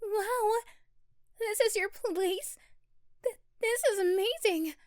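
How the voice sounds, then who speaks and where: Woman acting a sweet, high-voiced stammering young woman. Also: takes it slowly, no room sound, clean studio sound. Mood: shy, delighted. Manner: stammering, breathy exclamations. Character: sweet, shy young woman, captive and pleading. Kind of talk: dramatic audio roleplay acting.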